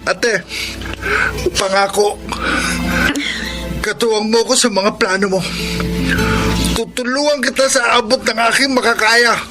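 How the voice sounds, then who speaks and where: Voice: medium pitch, steady tone, measured pace, pauses often, music in the background, natural voice. A performer playing a hesitant, sincere and grateful teenage younger brother in a radio drama, with a Filipino accent. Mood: sincere, promising. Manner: timid, sincere. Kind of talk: Tagalog radio drama acting.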